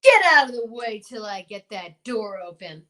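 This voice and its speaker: A woman's voice: on the high side, animated, moderate pace, a bit bright. A woman playing a determined, blustering male marshal in an old-time radio serial, with an emphatic, folksy, commanding delivery.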